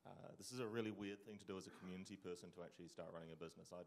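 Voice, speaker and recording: A man's voice: sits low, fairly even intonation, rapid-fire, gravelly. A man with a New Zealand accent, speaking as a panelist at a conference talk about running a community project.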